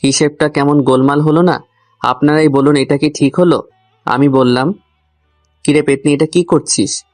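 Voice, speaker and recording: A man tells a comic first-person love story over soft background music. His delivery stays fairly contained in range, with a grumbling, confiding manner aimed straight at the listener. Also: fairly high pitch, fairly even intonation, normal speed, long pauses. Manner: grumbling, addresses the listener directly. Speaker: narrator and lead character of a love story. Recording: music under the voice.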